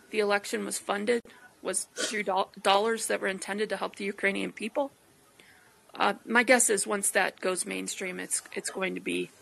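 Female podcast host, political talk, mid-pitched voice with noticeable vocal fry. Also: fairly bright tone.

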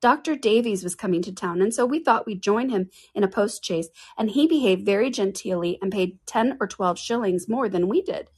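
A woman's voice: medium pitch, expressive, normal speed, few pauses, husky. A woman reading aloud, voicing a silly, vain young woman who simpers with affected earnestness. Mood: simpering.

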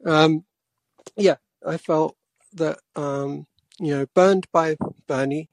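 Casting: male caller, forties, from England, soft-spoken and halting, creaky, very dry close sound. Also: a little high, steady tone, no room sound. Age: 40s. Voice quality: quiet voice. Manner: hesitant, halting. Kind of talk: casual political talk.

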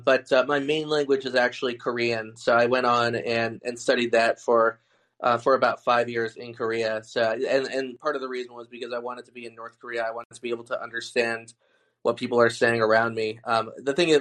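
Man, correspondent, podcast interview, halting thoughtful speech, mid pitch. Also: a bit bright. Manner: thoughtful, many fillers and restarts. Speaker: foreign correspondent and author.

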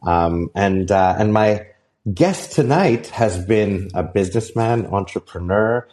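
A young man hosting a podcast, his voice sitting on the low side. He speaks in a casual, halting way, often pausing on fillers and starting his sentences over. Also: animated, darkish tone. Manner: halting, heavy with fillers and restarts. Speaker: podcast host. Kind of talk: casual interview chat.

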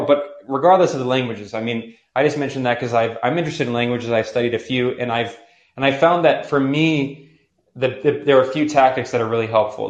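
A man's voice: mid-range voice, muffled recording. A young man hosting a call-in podcast, talking casually and thinking aloud with many fillers and restarts. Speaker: podcast host taking calls. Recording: roomy echo.

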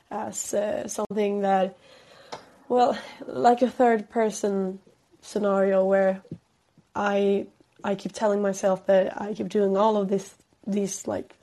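A woman's voice: mid-range voice, darkish tone. A tired young woman from Sweden with a Swedish accent, chatting as a pop singer guest over a call-in line, hesitant, with many fillers and frequent laughs. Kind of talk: casual call-in interview. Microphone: phone call-in.

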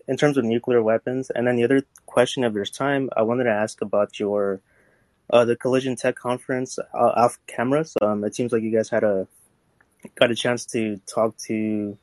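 A man's voice: mid-range voice, a little mellow, no echo. A man calling in with a question, polite and tentative, filling gaps with frequent ums. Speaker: caller. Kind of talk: call-in question.

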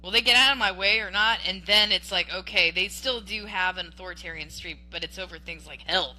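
A woman with a very bright, ringing voice argues her point on a podcast. She talks emphatically and animatedly, often restarting mid-sentence and checking in with "okay?". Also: fairly low pitch, a little fast, rough recording. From Western Washington. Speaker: podcast co-host. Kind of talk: casual political debate.

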